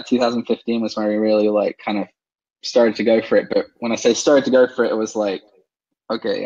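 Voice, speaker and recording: A man from England, a guest in a casual interview, talking with many fillers and soft laughs. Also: lowish voice, highs cut off. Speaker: interview guest, online seller.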